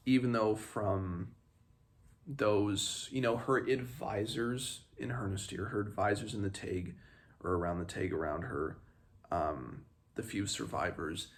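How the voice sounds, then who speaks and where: A man talks through a book in a halting, thoughtful way, as a read-along host. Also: fairly low pitch, creaky. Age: 20s. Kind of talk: casual book commentary with passages read aloud. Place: small room at home, indoors.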